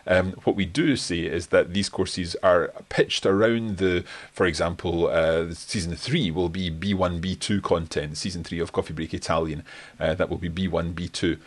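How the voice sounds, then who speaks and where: Scottish host in his forties, deep voice, relaxed and chatty on a home live stream, lots of ums. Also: moderate pace. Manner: relaxed and friendly, thanks viewers, frequent fillers. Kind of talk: live stream Q&A, reading comments. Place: home study lined with bookshelves. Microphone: desk microphone in front, webcam framing.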